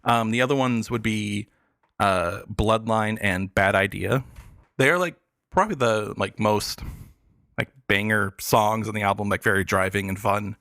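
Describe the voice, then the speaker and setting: A man chatting casually about the arts on a podcast, explaining things in a halting way with lots of filler words. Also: on the low side, vocal fry, fairly bright tone, no room sound, studio quality. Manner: hesitant, filler-heavy explaining. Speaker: podcast guest reviewing pop albums. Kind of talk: casual arts talk chat.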